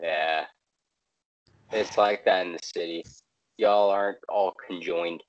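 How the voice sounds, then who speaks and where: A man's voice: deep voice, fairly even intonation, takes it slowly, no room sound, dull audio. A man hosting a podcast in casual chat, rambling and wry. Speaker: podcast host.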